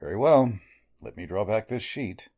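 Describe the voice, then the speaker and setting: Man playing a deep, husky, sinister old doctor. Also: lively intonation, darkish tone. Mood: grave, courteous. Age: older. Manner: formal, deliberate, menacingly polite. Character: sinister elderly male doctor, courteous, secretly a murderer. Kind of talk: 1940s radio mystery acting.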